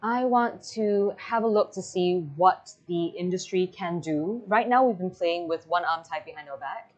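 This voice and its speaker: A woman with a Singaporean English accent speaking as a guest on a panel over a video call, articulate, opinionated and measured. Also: on the low side. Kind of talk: panel interview chat.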